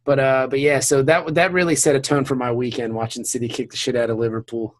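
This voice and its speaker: An American man chatting casually about sports as a podcast co-host, animated and slangy with plenty of swearing. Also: mid-range voice, fast.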